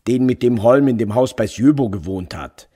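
An actor playing an insistent, slightly awkward male police detective with a husky voice in a German crime radio play. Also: mid-range voice, normal speed, dark tone, natural voice. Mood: brisk, insistent.

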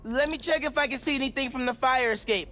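A man's voice: very high-pitched, quick pace, pushed and bright. An actor playing a friendly, awkward and eager teenage boy with an American accent in a video game. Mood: casual, anxious. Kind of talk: naturalistic video game acting.

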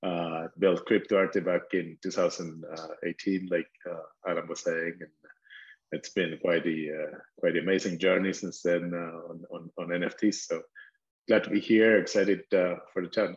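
A man's voice: deep voice, flat delivery, normal speed. A middle-aged man from Argentina introduces himself on a panel in a friendly, hesitant way, with many "uh"s. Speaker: project founder, panelist. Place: home office with framed art. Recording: dull, lo-fi call audio.

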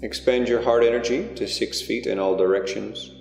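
A man leading a guided meditation, giving unhurried, hushed instructions with pauses between phrases. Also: fairly low pitch, steady tone, gravelly. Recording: long echoing reverb, music underneath. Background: music.

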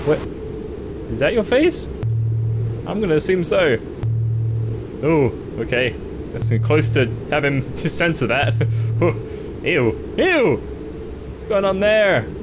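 High, lively young man playing a horror game. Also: slow, long pauses, over music, low-quality recording. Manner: jokey, reads on-screen text aloud, laughs and yelps at scares. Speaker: game streamer. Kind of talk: horror game let's play commentary. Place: small dark room indoors. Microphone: headset mic.

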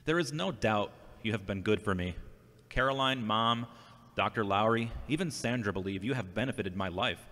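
A man's voice: on the low side, moderate pace, a bit bright, close to an everyday voice. An actor playing an earnest, defensive, self-doubting man recovering from a brain injury in a scripted audio drama. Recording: long hall-like reverb.

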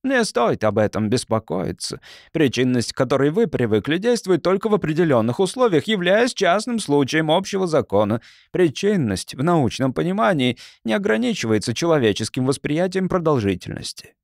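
A man reading an audiobook as a brisk, condescending mentor who explains things with some impatience. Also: fairly high pitch, sing-song, no echo, studio quality, pitched above the performer's own voice. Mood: patient explaining. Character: brisk, patronizing male mentor who controls time gate.

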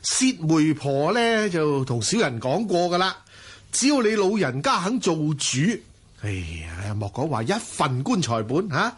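Moneyed suitor, Hong Kong Cantonese radio drama, courteous to the point of fawning. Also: male voice, on the high side, big pitch swings, a little slow, slightly rough, full character voice. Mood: ingratiating. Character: ingratiating rich suitor, smooth-talking and generous.

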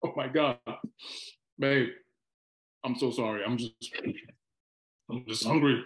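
A man's voice: medium pitch, steady tone, slow pace, lightly acted, poor audio. A man playing an evasive, hungry husband in his forties in a screenplay table read, hesitant and playful. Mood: sheepish, deflecting. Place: indoor room on a video call. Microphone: video call microphone.

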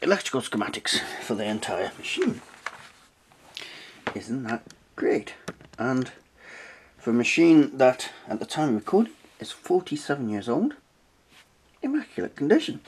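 A man's voice: medium pitch, big pitch swings, moderate pace, long pauses, husky. A British man talking informally and chattily through a casual hands-on review and tutorial as the host. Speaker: host of a retro tech review channel. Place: small cluttered indoor room at a desk.

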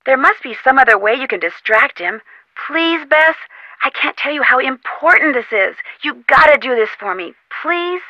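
A woman's voice: high voice, expressive, normal speed, sharp tone, dry recording, poor audio. An actress playing a plucky young female amateur detective in an adventure game, talking in an encouraging, insistent, conversational way. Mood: pleading. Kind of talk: scripted adventure game dialogue.

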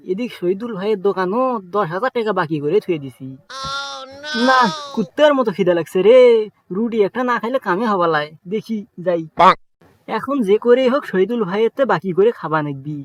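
A man's voice: high-pitched, no room sound, highs cut off. A man with a Bogura accent voicing a broke, scheming young trickster in a cartoon comedy, with cheeky, teasing banter. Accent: Bogura dialect of Bangla.

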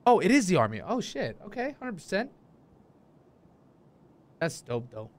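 A male game streamer with a high voice that swings around a lot. He talks loosely and slangily, repeating himself as he chats with his viewers. There is noticeable noise with clicks behind him. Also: measured pace. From Denmark. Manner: animated, repetitive, slangy and profane. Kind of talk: casual chat with stream viewers. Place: indoor room, streaming setup. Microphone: close desk microphone, headset worn.

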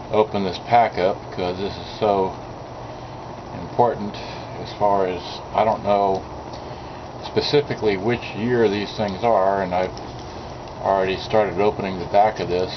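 Slow, rambling man on a lo-fi camera. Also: low-pitched. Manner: rambling, hesitant, many fillers and restarts. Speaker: vlogger unboxing cassette tapes. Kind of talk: casual unscripted unboxing commentary. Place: outdoor table by a sunny window. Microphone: built-in mic of a small digital camera. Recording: dull lo-fi camera audio with background noise.

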